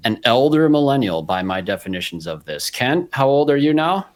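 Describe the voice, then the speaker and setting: A man's voice: medium pitch, very animated, moderate pace. A man in his forties hosting a podcast, chatting casually and laughing often. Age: 40s. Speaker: podcast host. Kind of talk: casual panel chat and read ads.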